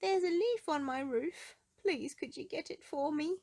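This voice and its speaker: A woman's voice: sits high, unhurried, darkish tone, pitched above the performer's own voice. A woman voicing an anxious, fretful man in a children's storybook, worried and pleading.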